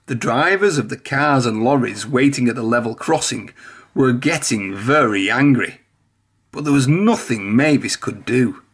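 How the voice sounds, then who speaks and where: A man's voice: mid-range voice, unhurried, slightly rough. A man reading a children's storybook aloud, narrating expressively. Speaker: storybook reader.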